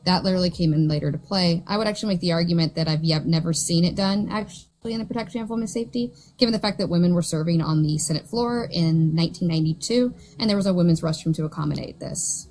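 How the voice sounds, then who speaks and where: A woman in an online debate, briskly laying out a source in a matter-of-fact way. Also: lowish voice, darkish tone, slight background noise, low-quality recording.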